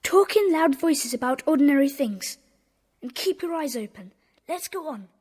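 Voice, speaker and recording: A woman playing a bossy, sensible schoolboy gang leader with a brisk, commanding manner in a children's audio drama. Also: high-pitched, lively intonation, darkish tone, big-room sound. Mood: commanding, wary.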